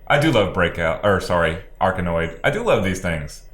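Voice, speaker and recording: A man's voice: sits low, very animated, normal speed, fairly bright tone. A man co-hosting a podcast in casual chat, recorded with roomy echo and some faint noise. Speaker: podcast co-host.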